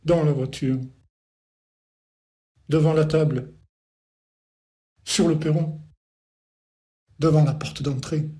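A man reciting poetry as an incantatory litany, in short repeated phrases set apart by pauses. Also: on the high side, dark tone. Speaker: poet.

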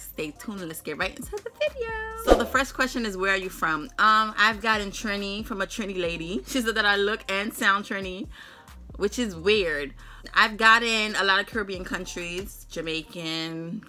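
A woman's voice: medium pitch, pushed and bright. A young woman from Brooklyn, chatting to camera in her bedroom, playful and slangy, laughing often. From Brooklyn, New York. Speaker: vlogger. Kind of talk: casual chat to camera. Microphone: camera in front of her.

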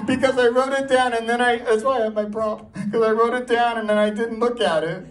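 A man performing a stand-up comedy set, telling a story in an animated way and throwing rhetorical questions at the audience. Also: very high voice, a little fast. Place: live comedy show before an audience. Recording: live room, distant mic, audience noise. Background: audience crowd and laughter.

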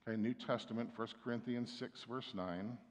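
A man's voice: mid-range voice, steady tone, moderate pace. Middle-aged man, pastor at the pulpit, conversational preacher, lots of restarts, recorded with long church reverb. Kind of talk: sermon. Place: church sanctuary. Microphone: stand mic at pulpit, far camera.

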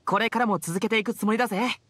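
A man's voice: high-pitched, fairly even intonation, fast talker, a bit bright, slight background noise. An actor playing an upbeat, sincere teenage boy adventurer in a Japanese anime game, speaking in a hearty, boyish way. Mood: grateful, earnest. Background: background game music.

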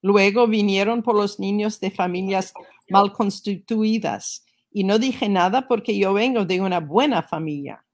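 Slow, gravelly older woman on a video call. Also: mid-range voice. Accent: learner's Spanish with a Spain-style z. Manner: hesitant, searching for words, many fillers. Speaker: guest speaker, education researcher. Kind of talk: online book launch talk. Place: living room at home, on a sofa. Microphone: laptop webcam video call.

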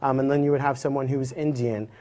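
A young man answering interview questions in a relaxed way on a small studio set, with many ums and uhs. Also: medium pitch, fast. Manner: relaxed, with frequent uh and um. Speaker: interview guest.

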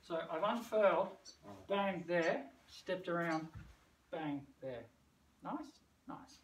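A man's voice: high voice, expressive, takes it slowly. A middle-aged man teaching martial arts moves in a casual, playful way while moving about, punctuating his instructions with sound words. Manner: physically active while talking. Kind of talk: hands-on demonstration, casual teaching. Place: covered brick veranda outdoors. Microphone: camera several metres away. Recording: distant camera mic, roomy, noisy.

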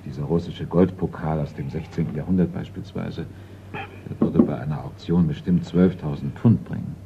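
An actor playing a polite, precise middle-aged art appraiser in a German radio crime play. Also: male voice, a bass voice, fairly even intonation, normal speed, creaky. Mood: precise, tactful. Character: seasoned male art appraiser, deferential, precise, discreet. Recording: dull, noisy old radio recording.

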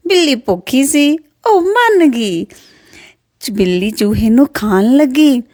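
A woman telling a children's folk tale in an expressive, rhythmic sing-song way. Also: high-pitched, takes it slowly, dry recording, clean studio sound. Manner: expressive, singsong, repetitive rhythmic delivery. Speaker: storyteller.

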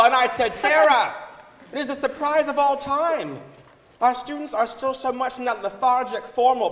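A man's voice: high voice, fairly bright tone. A young man playing an amused woman college warden, telling a story theatrically in a staged reading. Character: amused college warden recalling her years in office. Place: large indoor concert hall stage. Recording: reverberant concert hall stage recording.

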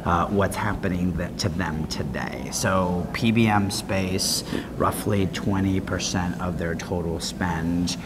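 A man in his forties with a low voice conducts a business interview in a conversational way. He explains his points and often checks that they land. There is clear noise behind him, picked up in a conference hallway. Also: slow. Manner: explanatory, punctuated with "right?" checks. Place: hotel conference corridor, indoors. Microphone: clip-on wireless lapel mic. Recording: echoey hall, clear background noise.

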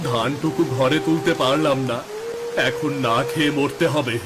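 A man's voice: mid-range voice, normal speed, natural voice. A man playing a poor, worried village farmer and father in a cartoon, with a plaintive, questioning way of talking over music. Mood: dismayed. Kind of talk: Bengali cartoon voice acting.

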